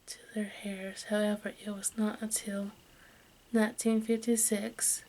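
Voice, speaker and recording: A woman reading aloud in a breathy whisper for ASMR, haltingly and with small stumbles over the words. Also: mid-range voice, poor audio. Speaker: host of an ASMR channel. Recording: steady background noise, room ambience.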